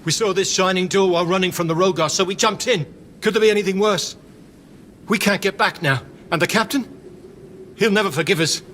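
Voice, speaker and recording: A man's voice: sits high, fairly quick, fairly bright tone, a little hiss or hum. A man playing a desperate, frightened survivor in a video game, talking with panicky urgency. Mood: panicked. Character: frightened, desperate male survivor fleeing monsters. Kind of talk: video game character acting.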